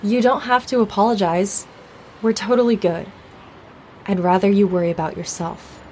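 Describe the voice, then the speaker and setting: A woman's voice: medium pitch, normal speed, a little hiss or hum, lightly acted. A woman playing a teasing, easygoing young barista. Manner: teasing, relaxed.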